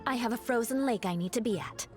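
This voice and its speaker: A woman's voice: a little high, quick pace, lightly acted. An actress playing a proud, aloof young noblewoman knight who speaks formally and coolly. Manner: formal, cool and clipped. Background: game music.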